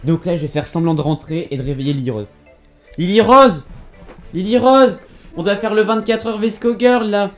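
A man's voice: sits high, moderate pace, faint music behind. Playful young man teasing, laughing a lot. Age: young adult. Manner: bubbly, teasing, laughs often. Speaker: family vlogger, big brother. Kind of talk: playful family vlog. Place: indoors, bedroom and kitchen of a home.

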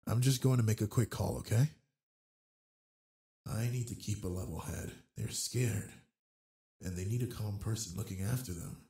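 Husky, flirty werewolf alpha acted by a man. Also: fairly low pitch. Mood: reassuring, then tender, worried thoughts. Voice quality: husky, gravelly. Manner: slow, soft, teasing. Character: flirty, gentle male werewolf pack alpha, big and brawny. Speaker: roleplay audio performer. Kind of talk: intimate romantic audio roleplay.

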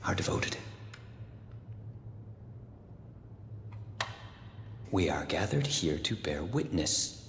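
An actor playing a sadistic, theatrical cult preacher in a video game, an American man with zealous, drawn-out phrasing. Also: low-pitched, sing-song, a little hiss or hum. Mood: mock solemn. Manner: preacherly, drawn-out phrasing with sudden whispers and shouts. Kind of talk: video game cutscene acting.

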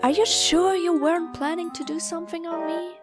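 A woman's voice: extremely high pitch, fairly even intonation, fairly quick. A woman voicing a huffy, suspicious, irritable young female fox-like creature in a cartoon comic dub. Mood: annoyed. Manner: accusing, irritable. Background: music.

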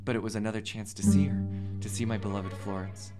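A man's voice: on the low side, level tone, fairly quick, loud music behind the voice, close to an everyday voice. A man playing a cautious, anxious teenage brother in an audio drama. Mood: reading aloud.

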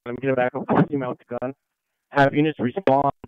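A male police officer giving quick, informal replies over a tinny, narrow police radio. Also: medium pitch, fairly even intonation, smooth, no echo.

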